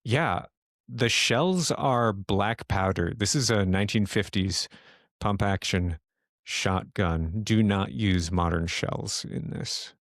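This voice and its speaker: A man with a lowish voice acts as game master, describing things slowly and haltingly as he thinks them through. The recording is dry and close, with hardly any room to it. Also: fairly bright tone, no room sound. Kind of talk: improvised narration of a tabletop horror game.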